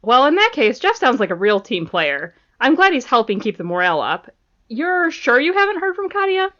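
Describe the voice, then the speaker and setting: A woman's voice: high-pitched, big pitch swings, normal speed, a bit bright, dull audio. A woman playing an officious academic in an audio drama, polite and probing with forced corporate cheer. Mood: officious, upbeat.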